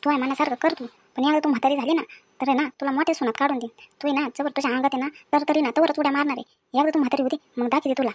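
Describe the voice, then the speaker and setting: A woman acts out a village daughter-in-law with a very high voice and a rapid tongue. She complains and scolds in a colloquial rural Maharashtrian accent, full of household grievances, as in a family drama story. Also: fairly even intonation, natural voice. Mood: bitter grumbling. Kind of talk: acted family story dialogue.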